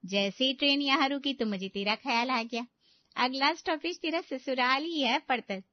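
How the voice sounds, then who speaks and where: Fussing, high-pitched middle-aged auntie played by a woman. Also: normal speed, no echo, dull audio, close to an everyday voice. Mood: cheerful. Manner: scolding with exclamations and motherly concern. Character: fussing, outspoken middle-aged aunt, affectionate and indignant.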